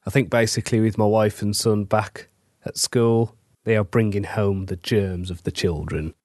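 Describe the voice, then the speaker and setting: A middle-aged man from England talks in a relaxed, conversational way, his voice thick and congested from a cold. His voice sits a little low. The recording is close and dry, with no room sound. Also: moderate pace, no echo. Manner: chatty, conversational, self-deprecating asides. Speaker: fantasy author. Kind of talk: casual weekly diary update.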